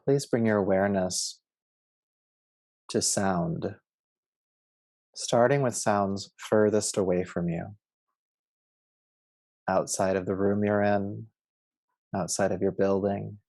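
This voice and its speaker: Casting: male meditation teacher, lowish voice with creaky fry, soothing and measured, guiding relaxation. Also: fairly even intonation, darkish tone, no room sound. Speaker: yoga nidra meditation teacher.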